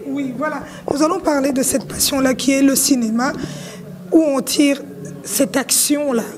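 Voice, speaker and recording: A woman hosts an on-location interview for web television. Her voice sits high, and she speaks as a presenter introducing a guest and putting questions to them. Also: fairly even intonation, moderate pace, a crowd in the background. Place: film festival in Brussels.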